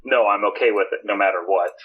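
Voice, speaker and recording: Husky man on a muffled lo-fi line. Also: fairly low pitch, fast. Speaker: podcast co-host. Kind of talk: casual podcast discussion. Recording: dull, lo-fi, degraded voice-call audio.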